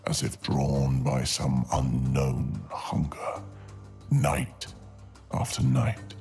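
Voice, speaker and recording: A male narrator delivers scripted fantasy in an ASMR storytelling style. His voice is exceptionally deep and gravelly. He takes his time, placing each phrase deliberately with dramatic pauses, over faint music.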